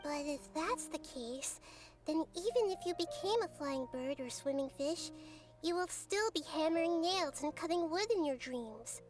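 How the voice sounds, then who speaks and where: A woman voicing a gentle, wise young girl deity in a video game who speaks softly and thoughtfully. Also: high voice, expressive, moderate pace. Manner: soft, thoughtful, patient counselling. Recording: game audio with music behind.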